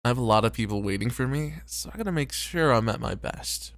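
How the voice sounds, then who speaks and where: A man playing a tired, popular host and teasing flirt in an ASMR roleplay, with relaxed banter. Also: mid-range voice, fairly quick, no echo, studio quality, natural voice. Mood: weary, hesitant.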